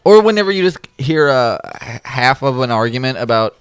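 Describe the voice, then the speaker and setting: A man's voice: medium pitch, big pitch swings, fairly quick, clear, resonant tone, dry recording. A man co-hosting a podcast in casual chat, enthusiastic and rambling, often trailing off and restarting. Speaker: podcast co-host.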